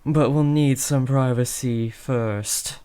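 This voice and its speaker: A man's voice: mid-range voice, steady tone, takes it slowly, dark tone, smooth, close to an everyday voice. A young man playing a villain's teenage son in a scripted roleplay, taunting theatrically and slipping into sheepish, casual talk. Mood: flustered, bossy. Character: teenage villain's son playing at kidnapping, cocky but awkward. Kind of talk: scripted roleplay audio addressed to the listener.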